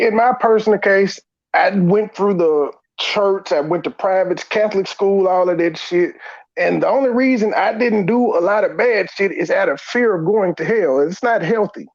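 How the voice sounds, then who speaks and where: A man with a somewhat high-pitched voice talks through a casual debate. His delivery is informal and plain-spoken, and the recording is a little dull and lo-fi. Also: lively intonation, normal speed. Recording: slightly dull, lo-fi audio.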